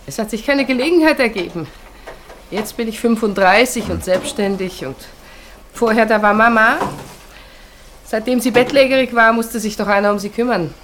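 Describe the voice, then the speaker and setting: A woman's voice: fairly high pitch, normal speed, a bit bright, a little hiss or hum, natural voice. An actress playing a dutiful, self-sacrificing unmarried woman in her thirties in a German radio play, speaking politely and with restraint. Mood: quietly defensive. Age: 30s. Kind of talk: German radio play acting, intimate naturalistic dialogue.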